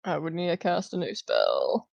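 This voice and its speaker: A woman's voice: sits low, huge pitch swings, normal speed, darkish tone, highs cut off. A woman playing a tabletop game and chatting casually with the others. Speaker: player in a tabletop game podcast. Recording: music clearly under the voice. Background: background music.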